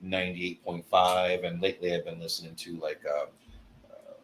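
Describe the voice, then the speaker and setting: A man chatting casually as a guest, relaxed and rambling, with many hesitations. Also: low voice, normal speed, slight background noise. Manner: laid-back, rambling, many uh's. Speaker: guest, old friend of host. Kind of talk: casual chat.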